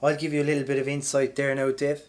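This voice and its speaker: A man with an Irish accent and an average-pitched voice chats as a podcast co-host. His talk is loose, rambling and colloquial, with plenty of swearing. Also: completely flat delivery, fast talker, darkish tone. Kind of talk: casual chat.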